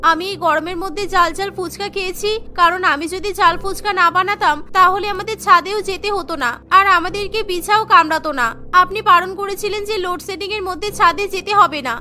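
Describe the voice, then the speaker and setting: Woman as a high-voiced, contrite young housewife. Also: brisk, sharp tone, faint music behind, full character voice. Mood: remorseful. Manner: contrite, earnest. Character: remorseful young housewife apologising to her mother-in-law.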